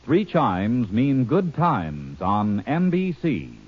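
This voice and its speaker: Animated male promo announcer on a muffled vintage broadcast. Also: mid-range voice, takes it slowly, husky, soft background music. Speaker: network announcer. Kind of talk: 1950s radio promo. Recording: dull old radio recording.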